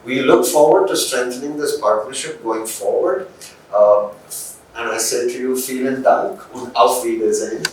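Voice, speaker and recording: A man's voice: medium pitch, normal speed. A man hosting a podcast interview, asking questions in a polite, articulate, conversational but formal way. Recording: very echoey room, distant mic, faint noise.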